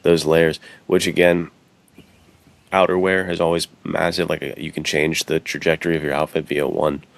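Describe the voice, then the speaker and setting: A man with an extremely low voice that crackles with fry talks in a loose, slangy podcast style. His phrasing is bro-like and conversational, as a co-host swapping opinions. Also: flat delivery, normal speed, dry recording. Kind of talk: casual podcast chat.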